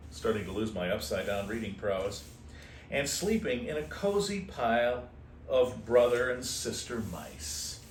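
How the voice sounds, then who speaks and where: A man reading a children's storybook aloud expressively, in a playful manner with casual asides. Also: medium pitch. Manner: unhurried, animated, playful. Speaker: host reading a picture book aloud to shelter kittens. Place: indoor room at an animal shelter. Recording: roomy, distant mic with faint noise.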